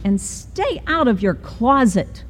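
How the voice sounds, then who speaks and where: A woman voicing a bossy mother cat who scolds her kitten in a high, strained voice. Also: big pitch swings, takes it slowly, long reverb tail, a little hiss or hum. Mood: lecturing. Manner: shrill scolding.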